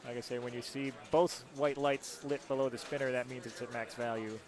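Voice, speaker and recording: A man from Rochester giving live pinball tournament commentary, calmly explaining the rules and scoring of each shot. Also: mid-range voice, brisk. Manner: explanatory, rule-focused play-by-play. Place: tournament hall. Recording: noisy crowd in the background.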